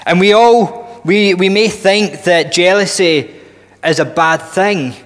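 A man in his thirties preaching a sermon in a church hall on a headset mic, earnest and deliberate, often restarting and building emphasis. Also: sits high, a bit bright. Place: church hall with long reverb.

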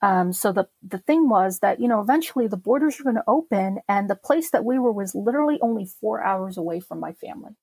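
A woman's voice: medium pitch, lively intonation, fast, few pauses, a little mellow, husky, no room sound. A middle-aged woman from Czechoslovakia, a podcast guest recalling her childhood in a reflective way, with many fillers and restarts. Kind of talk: personal memoir interview.

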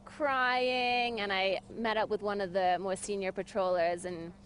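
A woman's voice: mid-range voice, fairly even intonation, a little slow, fairly bright tone, slight background noise. A young woman ski patroller telling a story animatedly in an outdoor interview on the slopes. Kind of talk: field interview.

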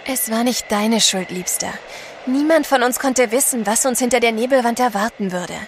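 An actress playing a devoted, tender wife in an adventure audio drama, affectionate and earnest. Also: female voice, on the high side, normal speed, a little hiss or hum, close to an everyday voice. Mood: consoling.

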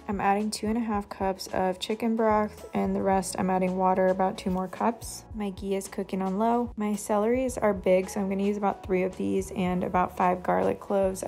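A woman's voice: medium pitch, vocal fry, a little mellow. A young woman narrating her cooking in a casual, matter-of-fact way, explaining each step as she goes. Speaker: lifestyle vlogger. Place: home kitchen.

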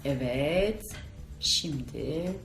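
Animated, playful woman in a roomy space. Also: rumbling low, extremely slow, gravelly. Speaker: host of a kids' video channel. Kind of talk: playful casual chat to camera during a makeup challenge. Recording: roomy echo with background music.

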